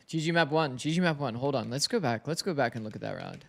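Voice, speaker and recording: A man streaming an esports match, breaking down plays in casual chat with his viewers. His voice is slightly raspy from heavy streaming, and he talks on, thinking aloud. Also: medium pitch, animated, quick pace.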